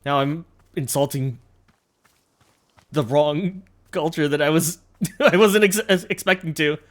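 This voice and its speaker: A man streaming a video game, chatting casually with viewers in an animated, laughing, self-deprecating way. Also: on the high side, a little slow. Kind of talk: casual gameplay commentary.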